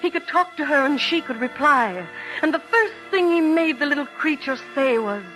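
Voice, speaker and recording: Dramatic, highly animated woman radio narrator. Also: poor audio. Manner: measured, suspenseful, literary delivery. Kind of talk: dramatic scripted radio storytelling, 1940s-50s. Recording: old radio broadcast, music behind.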